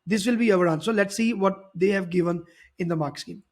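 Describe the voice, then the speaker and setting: A man's voice: sits high, brisk. A man teaching physics, explaining a worked problem step by step and often repeating and rephrasing his points.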